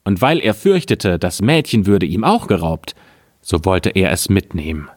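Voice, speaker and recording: A man narrating a scripted fairy tale as a storyteller, with a measured, expressive read-aloud delivery. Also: deep voice, no room sound, studio quality.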